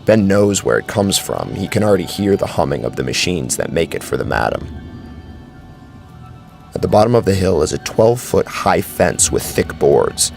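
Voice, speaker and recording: A man's voice: low voice, very animated, normal speed, gravelly, a little mellow. A man narrating a scripted horror story as a brooding, dramatic storyteller. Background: faint music.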